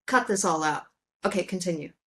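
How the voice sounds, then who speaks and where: A woman's voice: fairly low pitch, a little fast, fairly bright tone. A woman co-hosting a casual chat about films, thinking aloud in an informal way. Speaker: podcast co-host.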